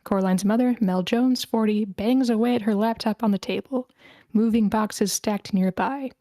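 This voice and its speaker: A woman's voice: mid-range voice, normal speed, mellow, no echo, clean studio sound. A woman reading stage directions as narrator at a screenplay table read over an online call. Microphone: video call.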